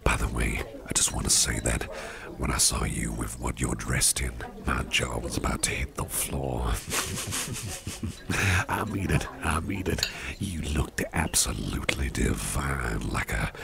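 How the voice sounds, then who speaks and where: Man voicing a very deep, gravelly gentleman monster boss. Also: exaggerated voice. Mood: flattering, playful. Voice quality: very deep gravelly rumble, breathy half-whispers. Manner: unhurried, chummy, repeats phrases, chuckles. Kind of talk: ASMR roleplay, intimate soft speech to listener. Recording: restaurant crowd murmur behind. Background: restaurant chatter.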